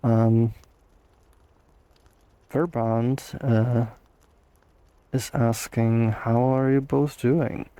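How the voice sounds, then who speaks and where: A man's voice: mid-range voice, steady tone, drawn-out words, pauses often, warm tone, smooth, no echo. A tired young man hosting a casual livestream Q&A, rambling with lots of fillers and restarts. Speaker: host answering viewer questions.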